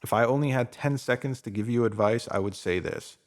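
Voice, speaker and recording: A man's voice: mid-range voice, a little fast. Man reading motivational narration. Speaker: narrator reading a self-help essay.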